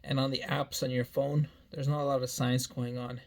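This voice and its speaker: A man's voice: mid-range voice, flat delivery, moderate pace, gravelly, a little mellow. A man in his early thirties giving a meandering personal life update, often repeating himself and restarting phrases. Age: 30s. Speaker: vlogger.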